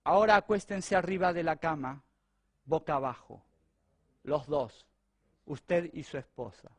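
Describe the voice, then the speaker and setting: A preacher acting out a curt, menacing robber. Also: male voice, a little high, unhurried, dry recording, voice pushed down. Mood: commanding. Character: menacing male home robber giving orders.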